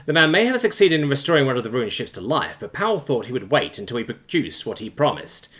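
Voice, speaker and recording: A man reading a science fiction story aloud as a scripted, expressive audiobook narration. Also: medium pitch, fast talker.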